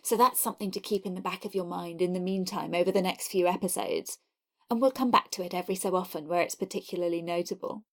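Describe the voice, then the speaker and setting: A woman's voice: on the low side, fast talker. Woman narrating a history podcast. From not Scotland.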